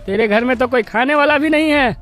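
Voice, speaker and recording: Very high-pitched scolding villager. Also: female voice, fairly quick, fairly bright tone, faint music behind. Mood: indignant. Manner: reproachful, emphatic. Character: indignant villager scolding a cruel man. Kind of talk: Hindi cartoon story voice acting.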